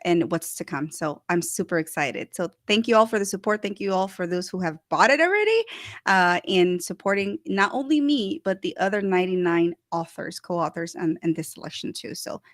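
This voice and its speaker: A woman's voice: on the low side, expressive, dry recording. Bubbly woman host, dry close sound. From El Salvador. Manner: bubbly, laughs often, fast with restarts. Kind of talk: casual online show chat.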